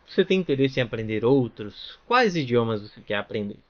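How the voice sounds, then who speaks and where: A man's voice: medium pitch, big pitch swings, a little fast. A man from Brazil teaches an online language lesson in a mid-range voice. He explains patiently and keeps checking in with the listener. The audio sounds a little dull. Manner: explanatory, with frequent "né?" and "tá bom?" check-ins.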